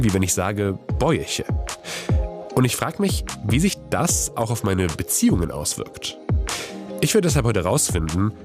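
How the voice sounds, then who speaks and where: A man whose first language is Polish, hosting a podcast with reflective, personal narration and interview questions. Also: on the low side. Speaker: podcast host.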